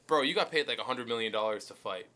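A man's voice: medium pitch, lively intonation, quick pace, sharp tone. A man in casual podcast conversation, giving his opinions in plain, slangy, matter-of-fact language. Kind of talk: casual podcast chat about fighting.

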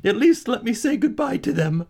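A timid storybook merchant, played by a male narrator, his voice pushed high with fear. He pleads and falters like a desperate father. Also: sing-song, normal speed, creaky, a little mellow, full character voice. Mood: terrified pleading. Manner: stammering, begging, high-strung. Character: frightened, pleading merchant, father of grown children.

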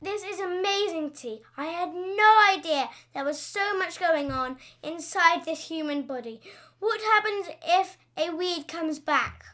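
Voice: very high-pitched, measured pace, fairly bright tone. A performer playing a small, curious, easily amazed red blood cell in a children's educational audio story, with an eager, questioning manner. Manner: wide-eyed, eager, questioning.

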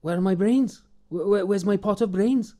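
A man's voice: sits high, normal speed, mellow, pitched above the performer's own voice, natural voice. A man voicing a simple, kind young village man who stammers eagerly. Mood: flustered.

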